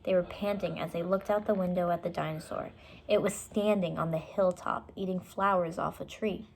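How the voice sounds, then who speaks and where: A woman's voice: lowish voice, steady tone, moderate pace, vocal fry. A woman storyteller narrating a children's book, with short explanatory asides for young listeners.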